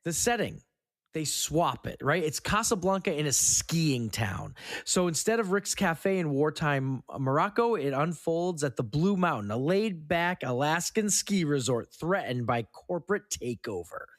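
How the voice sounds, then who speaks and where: A man hosting a casual film podcast, running through trivia in a halting way and stumbling over words now and then. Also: a little high, expressive, dry recording, studio quality. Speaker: podcast host. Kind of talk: casual film trivia rundown among co-hosts.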